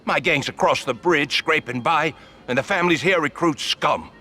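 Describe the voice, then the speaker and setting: An actor playing an embittered old-school street mobster in a video game, grumbling in colloquial, streetwise talk. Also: male voice, on the high side, moderate pace, bright, forceful tone, soft background music. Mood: bitter. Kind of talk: video game crime drama acting.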